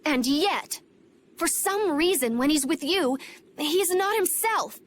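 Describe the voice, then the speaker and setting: A woman's voice: sits high, expressive, takes it slowly, a little hiss or hum. An actress playing a proud, loyal young female knight who speaks in a formal, resentful, urgent way. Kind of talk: video game voice acting.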